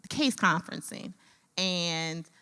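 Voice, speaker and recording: A woman from a nonprofit giving a conversational comment at a conference, with a loud voice and frequent filler words. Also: on the low side, slow pace, clean tone. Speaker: nonprofit staffer. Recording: reverberant conference hall.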